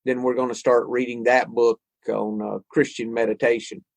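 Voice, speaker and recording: A man's voice: mid-range voice, flat delivery, moderate pace, gravelly, no room sound. A man, thinking aloud in a casual podcast conversation about recovery and spirituality. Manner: reflective, thinks aloud with frequent restarts. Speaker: podcast co-host.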